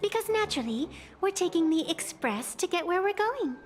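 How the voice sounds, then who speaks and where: A woman's voice: extremely high pitch, very animated, moderate pace. An actress voicing a cheeky, imperious female trickster in a video game, breezy, showy and teasing. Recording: long reverb, music underneath.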